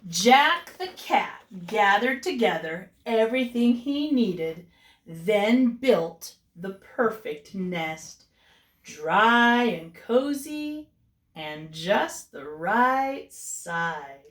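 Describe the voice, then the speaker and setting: Animated woman teacher reading in an echoey room. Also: medium pitch. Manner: animated, explains words to children, drawn-out delivery when reading. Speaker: kindergarten teacher. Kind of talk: expressive picture-book read-aloud for young children. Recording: roomy echo, distant mic.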